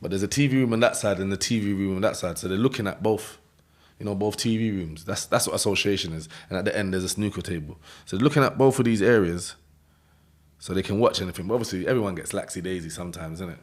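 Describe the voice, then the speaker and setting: A man with a London accent telling a story in an interview, in animated street slang, acting out voices and laughing often. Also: deep voice, quick pace. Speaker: interview guest.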